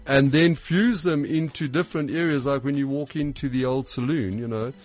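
A man, a festival organiser interviewed on radio, halting with many restarts. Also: mid-range voice, fairly even intonation. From South Africa.

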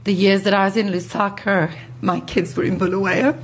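A woman in her sixties telling her life story as an interview guest, articulate and thoughtful, with considered pauses. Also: mid-range voice, steady tone, slight background noise. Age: 60s. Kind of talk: reflective personal storytelling in an interview.

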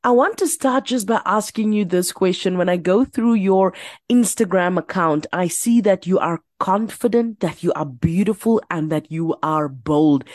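A woman hosting a radio interview, asking questions in a measured, deliberate way. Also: mid-range voice, a little mellow, no room sound, studio quality. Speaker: radio host.

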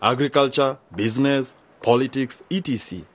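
A man's voice: medium pitch, moderate pace, natural voice. A man acting as a keen, ambitious young man in a radio drama, earnest and polite. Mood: eager, earnest.

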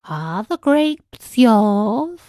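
A woman voicing a pitying little female glowworm in a children's storybook, gently scolding and moralizing. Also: a little high, very animated, extremely slow, no room sound, studio quality, exaggerated voice.

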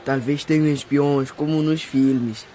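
Man playing playful, high-pitched young boy. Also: steady tone, measured pace, heavy background noise, close to an everyday voice. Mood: cautious. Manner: teasing, playful. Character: lively, teasing young boy, bossy brother. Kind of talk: radio drama acting.

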